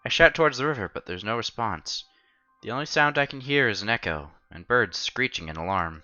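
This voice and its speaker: A man's voice: medium pitch, expressive, a little fast, pushed and bright, dull audio, soft background music. A man narrating a visual novel aloud as a streamer, expressively and acting out the dialogue.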